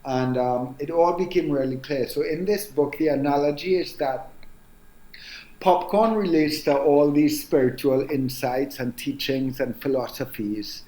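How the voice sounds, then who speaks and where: A man in his forties with a Trinidadian accent, speaking as an author guest in a relaxed interview and musing aloud with many "um"s and "you know"s. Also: on the high side, echoey room, low-quality recording. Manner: reflective, unhurried, frequent "um" and "you know". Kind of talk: casual interview conversation.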